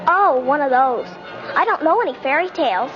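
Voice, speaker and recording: A very high-pitched little girl on a muffled old radio recording. She is precocious and skeptical, stating things bluntly and literally in the style of a 1950s radio play. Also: animated, a little fast, soft background music. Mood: matter-of-fact. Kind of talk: 1950s radio drama acting.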